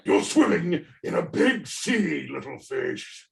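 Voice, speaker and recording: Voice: medium pitch, wildly swooping pitch, slow, gravelly, exaggerated voice. A performer playing a gloating, doomed masked criminal with theatrical mockery in a comedic script reading. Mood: taunting, resigned. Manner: theatrical, mocking, swinging into shouts. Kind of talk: comedic script table read. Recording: distant, echoey video call audio.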